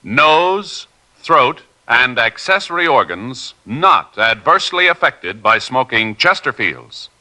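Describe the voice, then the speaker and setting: A man's voice: medium pitch, big pitch swings, takes it slowly, sharp tone. A man announcing formally in a declamatory 1950s radio style. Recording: 1950s radio broadcast recording.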